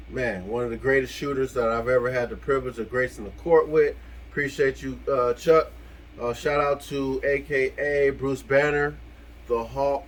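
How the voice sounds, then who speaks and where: A man co-hosting a casual vlog, giving informal, appreciative shout-outs in a heartfelt way. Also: mid-range voice, a little hiss or hum. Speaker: co-host of a video channel. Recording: music playing behind the voice. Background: background music.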